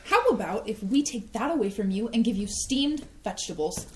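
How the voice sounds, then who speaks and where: A woman's voice: mid-range voice, lively intonation, brisk, a little hiss or hum, close to an everyday voice. A woman reading the part of a resentful adult daughter who sharply confronts her father, in a community staged reading. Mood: accusing. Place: small community playhouse stage.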